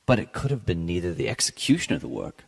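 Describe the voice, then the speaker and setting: A man with a very low, husky voice reads a classic tale aloud. His tone is heavily gravelly and his delivery is formal and measured, with a theatrical touch suited to dramatic literary narration. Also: sing-song. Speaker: podcast host and story reader.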